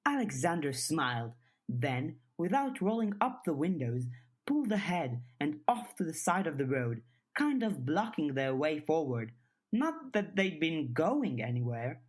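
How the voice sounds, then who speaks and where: A woman's voice: sits low, husky. A woman reading scripted fiction as an audiobook narrator.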